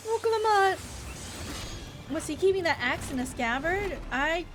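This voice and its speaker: High-voiced playful young woman streamer. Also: takes it slowly. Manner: playful, chatty, reacting aloud. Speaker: livestreamer. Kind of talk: casual gaming stream chat. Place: at home, live stream. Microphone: streaming microphone.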